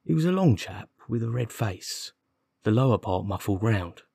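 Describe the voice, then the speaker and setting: Man putting on a defensive, slow-witted working-class constable. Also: lowish voice, animated, creaky, warm tone, husky, dry recording, studio quality, full character voice. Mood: aggrieved. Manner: colloquial, ungrammatical rustic speech. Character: working-class male police constable, defensive, dim, aggrieved. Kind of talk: audiobook character dialogue.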